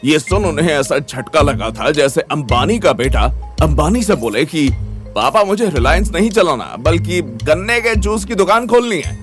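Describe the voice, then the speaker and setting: A man's voice: a little high, big pitch swings, moderate pace, soft background music. A man narrating a scripted Hindi audio story in a dramatic style.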